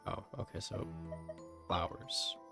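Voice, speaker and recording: A man streaming a game with casual commentary, making informal, offhand muttered reactions. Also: slow pace. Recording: loud game music behind voice. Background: game music.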